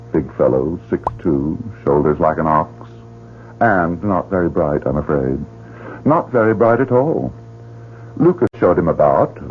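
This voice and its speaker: A man's voice: sits low, gravelly, slight background noise, exaggerated voice. An actor playing a suave, sinister male club director who speaks in a silky, mocking way, in a radio drama. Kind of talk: 1970s radio drama acting. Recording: tinny old radio broadcast with music.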